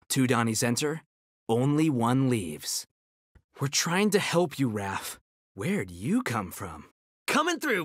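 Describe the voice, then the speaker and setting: A man's voice: medium pitch, fairly bright tone, no echo, clean studio sound. A man voicing a brainy, cocky young ninja turtle in a video game, with an American accent and nerdy, quippy confidence. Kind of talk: video game character battle banter.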